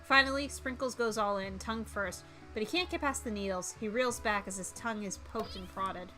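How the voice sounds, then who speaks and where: A woman streaming a visual novel, reading it aloud with animated, dramatic narration and playful asides. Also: mid-range voice, moderate pace. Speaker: VTuber streamer. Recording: music behind.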